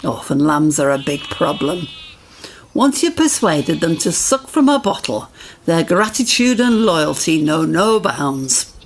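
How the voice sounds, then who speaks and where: Woman playing a creaky-voiced deadpan sheep farmer. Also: low voice, measured pace, slight background noise, full character voice. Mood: wry. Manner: deadpan, wry. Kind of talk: comic radio monologue. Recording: music behind the voice.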